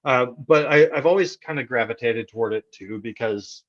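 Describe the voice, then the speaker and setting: An American man from Texas answers interview questions in a casual, conversational way. His voice sits at a medium pitch. He speaks haltingly, doubling back on words as he works out a thought. The recording is somewhat dull and lo-fi. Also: fairly bright tone. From Texas, United States. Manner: halting, repeats words as he thinks aloud. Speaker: interview guest, poet.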